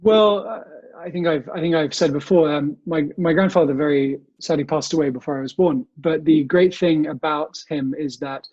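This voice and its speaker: A man's voice: a little high, normal speed, hardly any pauses, a little mellow, no echo, highs cut off. A young British man speaking politely as an interview guest, telling family memories in a conversational way. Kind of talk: conversational interview answers, reminiscing. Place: remote recording from home.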